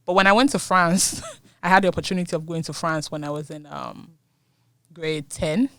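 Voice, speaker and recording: A woman's voice: fairly low pitch, moderate pace, fairly bright tone, no echo, studio quality. A young woman in her twenties from Nigeria, speaking Yoruba natively, talking as a guest in a casual interview and laughing often between phrases. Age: 20s. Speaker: guest, university student.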